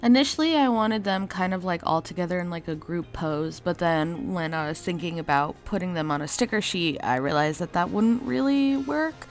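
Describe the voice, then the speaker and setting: A woman with a stuffy, congested voice from a cold, chatting casually and rambling as she talks about her art. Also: on the low side. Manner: chatty and rambling, many likes and self-corrections. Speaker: artist and channel host. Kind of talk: casual voice-over for a speed draw.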